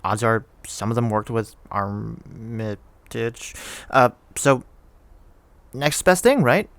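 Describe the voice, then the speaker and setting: An actor playing an earnest, nervous friend in an audio drama, talking in a hesitant, halting way. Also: male voice, medium pitch, expressive, dry recording. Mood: earnest, reassuring. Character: awkward, helpful male friend, nervous and earnest. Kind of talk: conversational audio drama acting.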